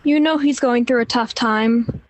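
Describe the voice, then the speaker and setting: A woman playing a hurried, pleading working mother in an amateur radio play. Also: a little high, dry recording, natural voice.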